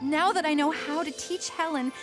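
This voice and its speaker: A woman playing a patient, encouraging teacher in an educational children's cartoon, explaining things gently. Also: high voice, normal speed, faint music behind, lightly acted. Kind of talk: animated educational series dialogue.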